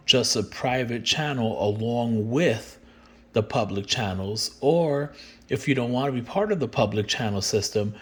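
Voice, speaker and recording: A man presenting a product in an informal, plain-spoken demonstration and sales pitch, explaining things step by step. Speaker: company representative presenting a product.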